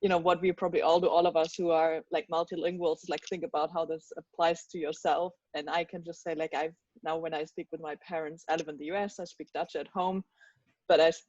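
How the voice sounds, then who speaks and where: A woman's voice: low-pitched, little pitch movement, brisk, a little mellow, dry recording. A young woman from Germany asking a question over a video call, chatty and casual with lots of fillers and laughs. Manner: chatty, laughing, full of likes and ums. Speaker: audience member asking a question. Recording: dull, dry video-call audio.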